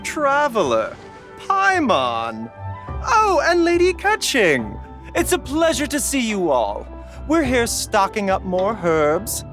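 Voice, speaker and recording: A man voicing a gentle, courteous young doctor with a polite, lilting manner. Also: very high-pitched, huge pitch swings, slow pace, exaggerated voice. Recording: game audio with music.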